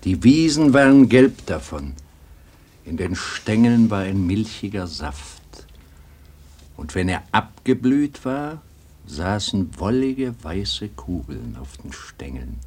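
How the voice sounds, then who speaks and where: An actor playing a weary, wistful old grandfather in a radio drama, with a husky voice and a brooding, halting delivery. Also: fairly low pitch, sing-song, a little mellow, heavily acted.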